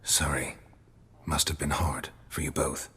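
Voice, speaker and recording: A stoic monster hunter for hire in a video game. The American voice is extremely low and heavily husky, terse and deadpan, ringing in a long reverb. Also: male voice, a little slow, full character voice. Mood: low, sympathetic. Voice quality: very gravelly, husky rasp.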